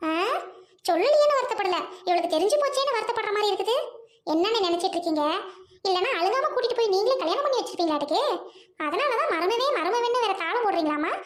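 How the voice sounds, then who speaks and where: Woman doing a shrill quarrelsome woman. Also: lively intonation, fast, reverberant. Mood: angry, taunting. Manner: scolding, taunting, repeats demands to answer. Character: quarrelsome village woman, sharp-tongued sister.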